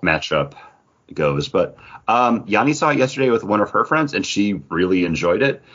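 A deep-voiced man with a husky edge hosts a casual podcast chat. His pitch swings widely as he jokes and adds quick asides. The audio sounds a little dull and lo-fi. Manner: animated, joking, quick asides. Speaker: podcast host.

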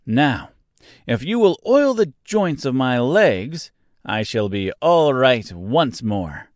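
A man voicing a polite, sad, rusted man made of tin in a storybook, speaking slowly and earnestly. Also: mid-range voice, big pitch swings, a little mellow, no echo, clean studio sound. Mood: relieved. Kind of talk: storybook character voice.

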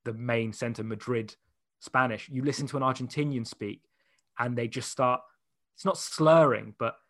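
A man's voice: medium pitch, a bit bright, dry recording, studio quality. Young man, casual interview guest, easygoing anecdotal storyteller, laughs readily. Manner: chatty storyteller, quick to laugh. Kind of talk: casual chat.

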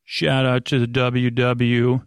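A man hosting a sleep podcast with a rambling, improvised monologue, meandering and halting as he drifts into tangents. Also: mid-range voice, monotone, clean tone, no echo, studio quality. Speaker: podcast host.

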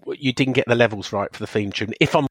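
Croaky man with a cold. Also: medium pitch, quick pace, dry recording. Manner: picky, dry complaints. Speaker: podcast co-host.

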